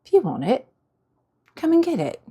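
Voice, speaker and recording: A woman voicing a vain, seductive villainess with a rich, smooth voice and a mocking, purring delivery in an audiobook. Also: high-pitched, huge pitch swings, normal speed, mellow, voice pushed up. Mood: taunting.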